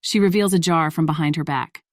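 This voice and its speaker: Woman narrator for an audio story, low voice, real dry studio-like sound with no room. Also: fairly quick, dry recording.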